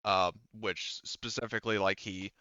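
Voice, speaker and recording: A man running a tabletop roleplaying game as game master, explaining the scene in halting, unscripted narration. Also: fairly low pitch, steady tone, pushed and bright, clean tone, no room sound, lo-fi sound.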